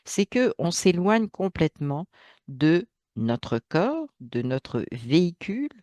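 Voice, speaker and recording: Man talking slowly with many pauses. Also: on the high side, sing-song, a little mellow, no room sound. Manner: hesitant, many fillers and restarts, emphatic asides. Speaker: channel host. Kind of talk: solo spoken monologue.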